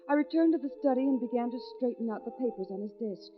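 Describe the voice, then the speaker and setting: An actress playing an anxious, devoted wife in a 1940s radio suspense drama, speaking in a dramatic, deliberate manner. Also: female voice, a little high, animated, lightly acted. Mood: brooding, uneasy. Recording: vintage radio, phone-like band, music behind.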